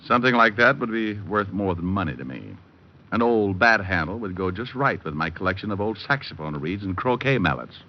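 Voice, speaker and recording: An actor playing a cocky, wisecracking hardboiled private detective who narrates with deadpan humor in an old-time radio drama. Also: male voice, low voice, fairly quick. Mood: wry, taunting. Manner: deadpan, flippant wordplay in narration and dialogue. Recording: muffled old radio recording with music.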